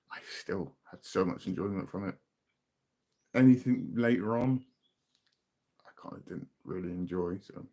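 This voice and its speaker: A British man chats loosely with viewers from a room at home, answering questions as they come in. His talk is relaxed and rambling, and his voice often sinks to a low mumble as a thought winds down. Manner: relaxed, chatty, trails off into quiet mumbles. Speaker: livestream host. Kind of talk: casual live chat reading viewer comments.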